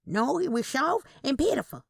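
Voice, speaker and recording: A woman's voice: sits high, very animated, moderate pace, creaky, no echo, clean studio sound, voice pushed up, heavily acted. A woman voicing a tiny, scornful twin aunt in her eighties, blunt and agitated, in a Southern Gothic story. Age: 80s.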